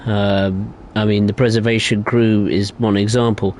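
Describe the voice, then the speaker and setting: Rambling young man, low voice. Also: flat delivery, moderate pace, a little mellow, a little hiss or hum. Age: young adult. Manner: rambling, hesitant, opinionated. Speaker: streamer. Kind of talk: casual live-stream chat.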